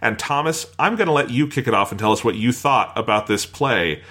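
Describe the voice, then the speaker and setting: A man's voice: mid-range voice, lively intonation, fairly quick, a bit bright. A man hosting a podcast in a conversational style, introducing the show and guests. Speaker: podcast host.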